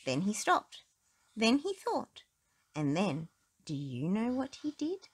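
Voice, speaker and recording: A woman reading a children's story aloud as narrator, in an expressive, deliberate storytelling manner. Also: mid-range voice, breathy edge. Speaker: storybook reader.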